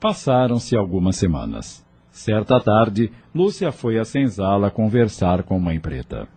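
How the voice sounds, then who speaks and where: A man's voice: lowish voice, wildly swooping pitch, a little slow, warm tone, faint music behind. A man narrating a scripted audio drama as storyteller.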